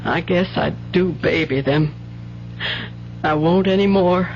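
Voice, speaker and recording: Weary middle-aged widow, slow halting delivery, resigned, radio drama, muffled recording with faint hum. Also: female voice, lowish voice. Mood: subdued, regretful. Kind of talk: 1970s radio drama acting.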